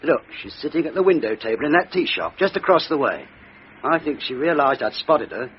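A man's voice: a little high, expressive, fairly quick, lightly acted. An actor playing a dutiful young police sergeant who reports politely to his superior. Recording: dull radio recording with faint noise.

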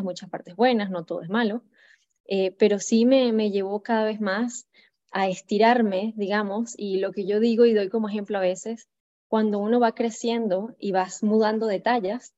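A woman's voice: mid-range voice, warm tone, lo-fi sound. A Venezuelan woman in her thirties, a podcast guest telling her personal story in a reflective way over a remote call. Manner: reflective, many fillers and restarts.

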